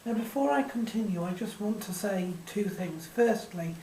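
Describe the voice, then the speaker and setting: A hoarse woman giving a casual, halting spoken recap, full of ums and uhs. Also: medium pitch, lively intonation. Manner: hesitant, many ums and uhs. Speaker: fight card recap vlogger. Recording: echoey room, faint noise.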